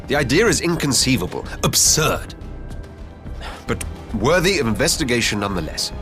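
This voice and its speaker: An actor playing a formal, earnest imperial envoy in a video game, speaking in a measured, diplomatic way. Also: male voice, mid-range voice, lightly acted. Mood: sceptical. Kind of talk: video game cutscene acting. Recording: loud game music behind.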